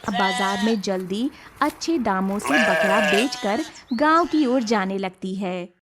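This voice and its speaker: Slow-paced woman narrating a story. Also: medium pitch, expressive, noisy background.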